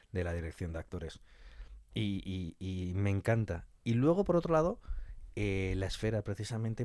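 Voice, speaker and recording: A man's voice: lowish voice, darkish tone, dry recording. A man with an accent from Spain, chatting casually as an interview guest in an effusive, colloquial way, repeating things for emphasis. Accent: Spanish from Spain. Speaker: interview guest, audio fiction scriptwriter.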